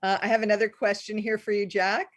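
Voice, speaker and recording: A woman's voice: medium pitch, level tone, fast, no echo. Dry, close-sounding woman moderator. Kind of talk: reading out audience questions, live event hosting.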